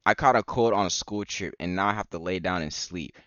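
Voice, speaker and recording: A man's voice: low-pitched, brisk, fairly bright tone, no echo, lo-fi sound. A young man streaming a game, reading visual novel text aloud with casual reactions.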